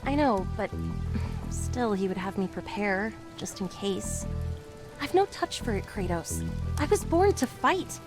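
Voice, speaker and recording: Woman acting young spartan warrior girl, earnest n restless, candid talk, average pitch, music in back. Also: lively intonation, a little slow, lightly acted. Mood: frustrated, resolute. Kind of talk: video game voice acting.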